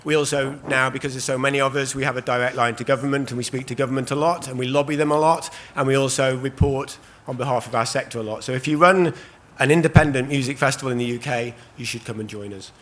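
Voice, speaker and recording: Hoarse man hosting a panel. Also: mid-range voice, fairly even intonation, fast talker, few pauses, a bit bright. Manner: loose and chatty, with many ums and restarts. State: hoarse, losing his voice. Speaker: panel host. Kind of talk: hosting a live conference panel. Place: conference stage at a trade show.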